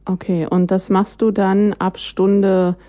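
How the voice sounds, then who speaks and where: Mellow-voiced woman interviewer, close and dry. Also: medium pitch, level tone, slow, dry recording. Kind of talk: casual conversational interview.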